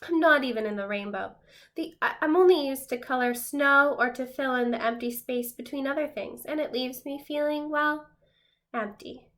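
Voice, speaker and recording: Lady doing a sad left-out crayon in a storybook, plaintive, high and bright. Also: moderate pace. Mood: forlorn.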